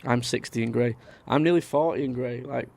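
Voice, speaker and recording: A man hosts a live stream. He rambles chattily, reading out viewer comments between stories. His mid-pitched voice carries a gravelly edge. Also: a little fast, a little mellow. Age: 30s. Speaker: livestream host. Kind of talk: casual live-stream chat.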